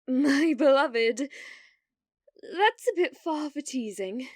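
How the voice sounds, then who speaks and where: A woman performs a delusional young woman who believes she is a demon lord bound to her beloved. Her high voice moves slowly, with theatrical, formal phrasing and obsessive devotion. It is an ASMR roleplay, recorded close and dry. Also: animated, no room sound. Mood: lovestruck.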